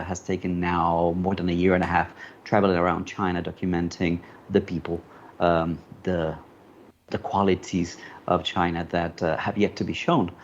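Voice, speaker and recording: A middle-aged man from Colombia, a native Spanish speaker, telling his story in a relaxed, rambling way with many fillers. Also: deep voice. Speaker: panel guest, media commentator. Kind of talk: casual conversational storytelling. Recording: dull lo-fi call audio with faint noise.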